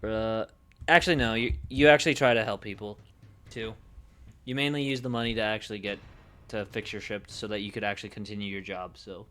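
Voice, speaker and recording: A man streaming casually, thinking aloud in a musing, hesitant way with pauses mid-thought. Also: lowish voice, fairly even intonation, fairly bright tone.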